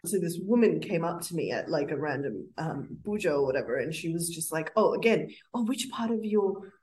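Woman, lowish voice, casual podcast chat, reflective, laughs easily. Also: lively intonation, brisk, darkish tone, rough recording. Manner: attentive listener, frequent laughs, reflective. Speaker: podcast co-host.

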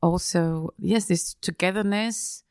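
Middle-aged woman from Austria, low and gravelly, hesitant interview guest with many fillers, dry close sound. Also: slow, darkish tone, no echo. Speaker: interview guest, business executive. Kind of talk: casual interview conversation.

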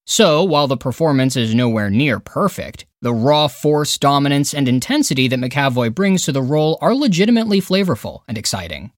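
A man's voice: mid-range voice, expressive, brisk, hardly any pauses, dry recording, clean studio sound. A man reading a scripted review countdown as a podcast narrator and critic, with an emphatic, opinionated, dramatic way of phrasing.

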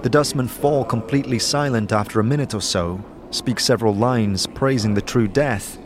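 A man narrating a fantasy audio drama in a measured, atmospheric storytelling style. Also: mid-range voice, noisy background. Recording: clear background music.

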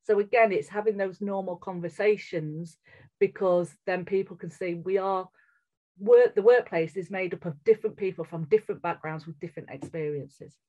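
A woman's voice: mid-range voice, moderate pace, dark tone. A middle-aged woman with a strong northern English accent, speaking earnestly and candidly as a guest in a podcast interview. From England. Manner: earnest, candid, with frequent restarts. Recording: muffled, lo-fi audio.

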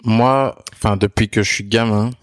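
A man's voice: fairly low pitch, steady tone, normal speed, dry recording, studio quality. A man hosting a podcast in playful, casual conversation. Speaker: podcast host. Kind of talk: casual chat.

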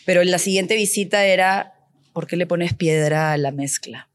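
A woman's voice: fairly low pitch, moderate pace, no room sound. A woman from Peru, an architect and designer, telling stories as an interview guest. She speaks animatedly and acts out remembered bits of dialogue. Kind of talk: conversational storytelling.

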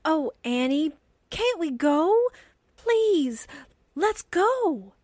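An actress playing a naive, excitable, girlish sidekick in the style of 1940s radio drama. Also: high-pitched, drawn-out words, no echo, studio quality, full character voice. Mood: pleading. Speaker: actor.

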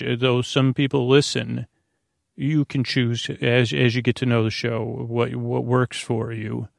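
A man with a creaky, fry-edged voice in a dry, close recording. He hosts a bedtime podcast, wandering through a rambling monologue. His delivery is hesitant, with stammered repeats and sentences that trail into tangents. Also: medium pitch, no echo. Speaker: host of a bedtime sleep podcast.

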